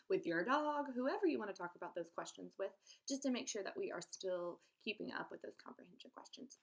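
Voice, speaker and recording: A woman teacher reading a storybook aloud for children, expressive and speaking to them directly. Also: mid-range voice.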